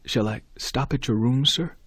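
A man's voice: medium pitch, moderate pace, fairly bright tone, breathy edge, dry recording, voice pushed up. A man voicing an anxious young male student who pleads, stammers and keeps saying sir. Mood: nervous.

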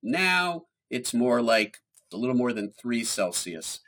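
Husky man explaining science calmly. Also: medium pitch. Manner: measured, explanatory, emphatic on key points. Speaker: climate scientist guest. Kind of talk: explanatory interview answers.

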